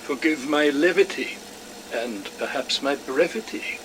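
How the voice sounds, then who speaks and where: An actor playing a fussy, wry scientist with a formal, pedantic manner in an audio drama. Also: male voice, mid-range voice, measured pace, noisy background, close to an everyday voice. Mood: wry, playful. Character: fussy, wry, verbose male scientist, smugly playful.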